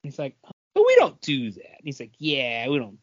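A man tells casual anecdotes as a podcast co-host. His pitch moves around in a lively, animated way. The recording sounds a bit dull and lo-fi. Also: a little fast, husky. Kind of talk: casual chat, anecdote telling.

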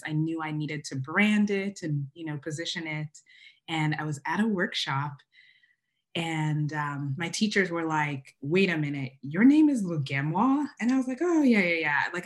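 A woman from Uganda talks as a podcast guest, telling her story in an animated, conversational way. Her voice sits low for a woman, and she laughs often. She is calling in remotely. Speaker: guest, wedding photographer. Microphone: remote call from home.

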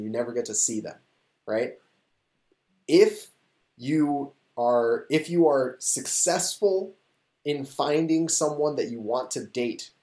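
A man in casual chat, retelling a show in an animated, rambling, excitable way. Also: medium pitch. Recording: echoey room.

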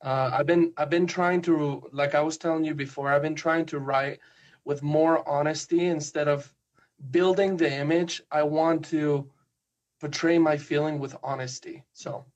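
A man with a Spanish accent and a slightly raised voice. He talks with hesitant restarts and reads poetry slowly and softly. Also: on the high side, lo-fi sound. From Spain. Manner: hesitant, soft-spoken, slow measured reading. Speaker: guest poet. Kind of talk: casual chat and poetry reading.

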